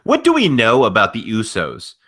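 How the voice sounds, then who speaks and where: A man's voice: lowish voice, huge pitch swings, normal speed, fairly bright tone. A man co-hosting a casual wrestling podcast, speaking in an exasperated, emphatic way. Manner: exasperated, emphatic, mildly profane. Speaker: podcast co-host. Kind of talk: casual wrestling commentary chat.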